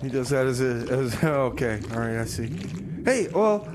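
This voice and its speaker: A man's voice: medium pitch, very animated, normal speed, noisy background, close to an everyday voice. A man playing a hapless mechanic and co-host in a comedy radio play, with a hesitant, casual manner. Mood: easygoing announcing. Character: hapless time machine mechanic working at a radio station.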